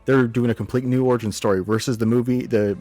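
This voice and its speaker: A man's voice: medium pitch, steady tone, fast talker, warm tone, soft background music. A man co-hosting a podcast, chatting casually about movies in a rambling, sardonic way. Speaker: podcast co-host.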